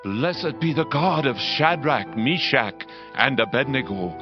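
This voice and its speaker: A man's voice: medium pitch, animated, measured pace, lightly acted. An actor playing a proud, awestruck king of ancient Babylon, declaiming solemnly in a dramatized scripture reading. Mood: fervent, decreeing. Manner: grand, declamatory, solemn proclaiming. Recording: dull band-limited audio under loud music. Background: loud dramatic music.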